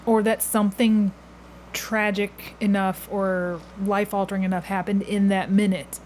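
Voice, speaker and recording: A woman interviews casually as a podcast host. Her pitch is average for a woman and she is inquisitive, often rewording a question midway. A little noise is audible behind her. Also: level tone, unhurried, a little mellow. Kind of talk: casual chat.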